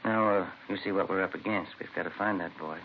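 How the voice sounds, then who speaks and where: An actor playing a blunt, urgent police detective in a 1950s radio crime drama. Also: male voice, sits low, steady tone, fast talker, gravelly. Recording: dull, noisy old radio recording.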